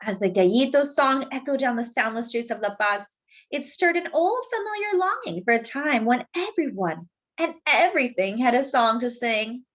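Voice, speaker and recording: A woman's voice: a little high, lively intonation, moderate pace, a bit bright, muffled recording. A woman hosting a children's storytime, reading a picture book expressively and dramatically for young listeners. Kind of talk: animated picture-book read-aloud.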